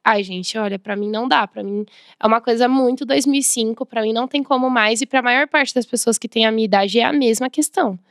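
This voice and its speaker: A woman's voice: a little high, a little fast, smooth, no room sound, clean studio sound. A young Brazilian woman, a podcast guest, speaks emphatically and acts out the people she quotes. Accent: Brazilian Portuguese. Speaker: podcast guest, diversity and inclusion expert. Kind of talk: conversational interview answer.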